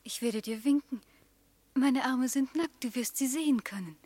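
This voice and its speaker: A devoted young woman in love in a poetic radio play. Her voice is breathy and slightly high, recorded very dry, with a wistful, simple, intimate delivery. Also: normal speed, a little mellow, no room sound. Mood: tender, trusting.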